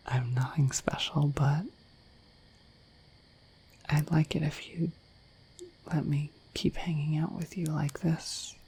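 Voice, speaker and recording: A woman voicing a shy, affectionate dorky dragon in an ASMR roleplay, speaking in a gentle, hesitant, breathy whisper. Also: low voice, fairly even intonation, slow, long pauses, heavily acted, low-quality recording. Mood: shy, sincere. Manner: gentle, hesitant, slightly bashful, intimate.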